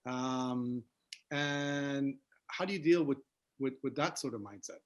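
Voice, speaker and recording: A man's voice: medium pitch, takes it slowly. A man moderating a webinar, asking questions with many restarts and fillers. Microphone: video call.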